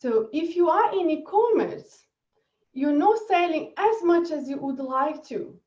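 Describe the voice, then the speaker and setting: A woman from Brazil with a Brazilian accent, giving an energetic webinar presentation and laughing often. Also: high-pitched, unhurried, recorded from a distance. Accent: Brazilian Portuguese. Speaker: marketing presenter. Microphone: video call. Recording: echoey dull video call audio.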